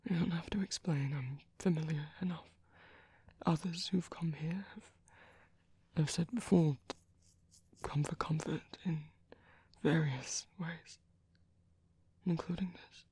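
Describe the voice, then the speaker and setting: A man playing a gentle, caring vampire priest in a whispered ASMR roleplay, speaking slowly and tenderly with soft, hesitant reassurance. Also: fairly high pitch, steady tone, dry recording, exaggerated voice. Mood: reassuring, tender. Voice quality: soft, breathy, husky whisper.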